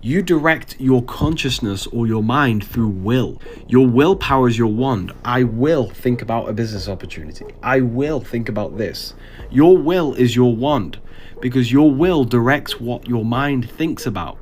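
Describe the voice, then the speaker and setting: Didactic man, mid-pitched, faint background noise. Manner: didactic, declarative short statements. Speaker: spiritual teacher. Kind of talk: instructional esoteric monologue.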